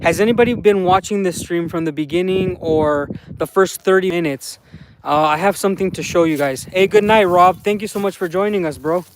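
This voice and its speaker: A man's voice: sits high, fairly quick, a little hiss or hum, poor audio. A man from the San Fernando Valley with a Mexican American accent, chatting casually to a livestream from outdoors and thanking viewers by name. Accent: Mexican American, Los Angeles. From Los Angeles, San Fernando Valley. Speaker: livestream vlogger. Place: outdoors by a mountain slope.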